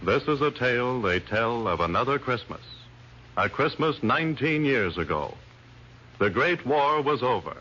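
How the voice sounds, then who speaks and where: Male old-time radio narrator, mid-pitched, bright and solemn, on a dull, slightly noisy recording. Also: big pitch swings, normal speed.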